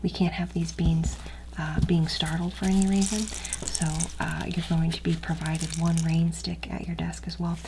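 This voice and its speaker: Hushed, low-pitched woman in ASMR roleplay. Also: flat delivery, noisy background. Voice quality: soft, breathy, often whispered. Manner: hushed, unhurried, halting step-by-step instruction. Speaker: ASMR performer playing a training instructor.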